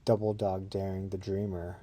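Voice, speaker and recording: A man's voice: sits low, level tone, normal speed, warm tone. A man reading his own poem in an understated, matter-of-fact way. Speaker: poet.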